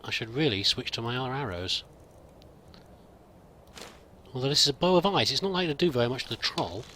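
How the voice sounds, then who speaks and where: Bright-voiced man playing through a game. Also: mid-range voice, animated, very fast, a little hiss or hum. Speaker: host of a roleplay let's play. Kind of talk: in-character game commentary, musing aloud.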